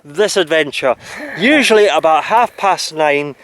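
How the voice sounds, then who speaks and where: A man's voice: on the high side, lively intonation, unhurried, fairly bright tone, low-quality recording. A man chatting casually in a vlog, rambling and stumbling over words with frequent "you know". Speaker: vlogger. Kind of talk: casual chat.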